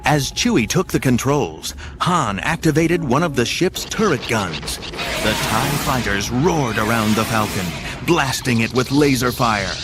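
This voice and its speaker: Male narrator for adventure audio drama: scripted dramatic storytelling, medium pitch, music bed. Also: lively intonation, measured pace.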